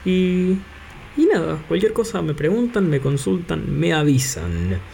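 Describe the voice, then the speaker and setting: Male tutorial presenter, informal and improvised, creaky voice, faintly noisy home recording. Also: on the high side, lively intonation, a little slow, a little mellow. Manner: improvised, thinking aloud. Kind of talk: informal spoken software demonstration.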